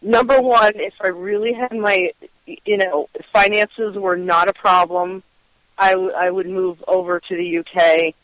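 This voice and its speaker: A woman's voice: medium pitch, steady tone, measured pace, dry recording, poor audio. A woman from Western Massachusetts hosting a casual interview, enthusiastic and rambling, laughing often. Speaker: podcast host.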